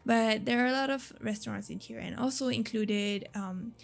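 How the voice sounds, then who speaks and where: A woman giving casual, unscripted voice-over commentary on a game build, rambling and unsure, with a slightly raspy, tired voice. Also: a little high, normal speed. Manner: rambling, unsure, apologetic, giggly. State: voice tired from talking a lot. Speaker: gaming video creator narrating a build. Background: background music.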